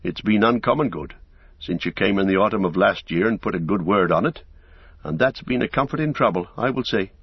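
A man's voice: on the low side, lively intonation, fairly quick, husky, dry recording, lo-fi sound. A man voicing a good-hearted, easily flustered innkeeper in a storybook, rambling along in folksy, rustic phrases. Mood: earnest, proud. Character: broad-faced, garrulous, good-hearted, flustered male innkeeper. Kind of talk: audiobook character acting.

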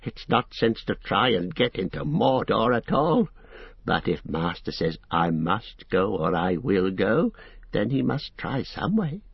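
A wretched, sly creature hisses and whines through drawn-out phrases, dropping to a breathy whisper and jumping into high squeaks. The recording is dry and dull. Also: male voice, very animated, dry recording, heavily acted. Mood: wheedling. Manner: wheedling, sulky, slow and drawn out. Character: hissing wretched creature, male, sly and whining.

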